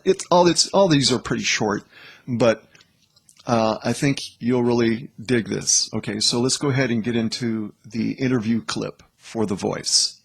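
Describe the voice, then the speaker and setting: A man hosting with informal explanatory commentary, in halting, broken phrases with frequent check-ins to the listener. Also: mid-range voice, creaky, husky. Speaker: host.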